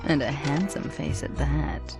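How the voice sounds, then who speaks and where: An actress playing a sly, flirtatious femme fatale card player in a video game. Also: female voice, low voice, unhurried, creaky, loud music behind the voice. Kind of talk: video game cutscene acting.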